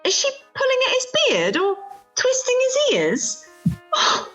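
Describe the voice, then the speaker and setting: A woman's voice: very high-pitched, huge pitch swings, unhurried, fairly bright tone, heavily acted. A woman acting a cheerful, gossipy, supportive middle-aged friend in an amateur radio play, exclaiming and chatting. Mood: alarmed, watching. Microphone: video call from home. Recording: home video-call recording with music.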